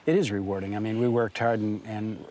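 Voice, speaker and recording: A man's voice: lowish voice, steady tone, a little fast, mellow, a little hiss or hum. An older man from Detroit with an American accent, a farmer and winemaker chatting casually and reflectively about his life. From Detroit, USA. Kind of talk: casual interview chat.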